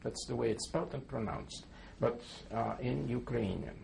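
A man's voice: mid-range voice, moderate pace, creaky, background noise. A man in his seventies, born in Poland, giving a careful oral history testimony and spelling names letter by letter. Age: 70s. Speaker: interviewee.

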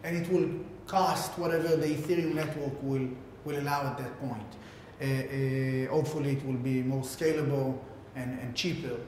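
Guy doing a halting tech pitch, super echoey reverby room, faint rumble. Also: fairly even intonation, darkish tone. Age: 30s. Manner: halting, filler-heavy delivery with repeated words. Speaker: tech company founder presenting a product. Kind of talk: informal keynote explanation to camera.

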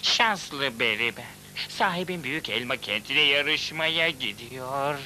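A man's voice: medium pitch, lively intonation, slow pace, bright, forceful tone, exaggerated voice. A man voicing a scheming, boastful villain cook in a dubbed cartoon, with a harsh crowing voice and theatrical gloating. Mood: smug. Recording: noisy old VCD cartoon dub.

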